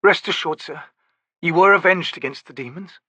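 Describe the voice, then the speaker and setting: A man voicing a gentle young woman soldier, speaking softly and formally, a little higher than his own voice. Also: normal speed, no room sound, muffled recording.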